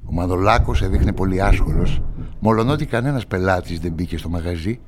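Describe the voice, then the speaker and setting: Low-pitched male investigating journalist, played by an actor; measured narration and probing questions for radio crime drama. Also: background noise, natural voice. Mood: measured, reflective. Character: inquisitive male journalist investigating a murder, narrating his story.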